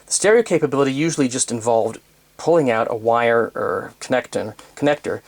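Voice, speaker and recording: A man presenting an unscripted technical demonstration in a methodical, hesitant way, often pausing and correcting himself as he explains. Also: medium pitch, lively intonation, no echo. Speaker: presenter demonstrating vintage tape recorders.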